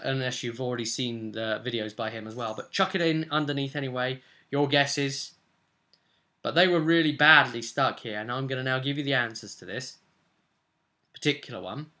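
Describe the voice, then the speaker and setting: A young British man chatting casually and emphatically to camera as a game master, rambling in an animated way. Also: mid-range voice. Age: young adult. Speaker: tabletop role-playing game master and vlogger. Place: small furnished room at home, indoors. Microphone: camera or webcam at desk distance.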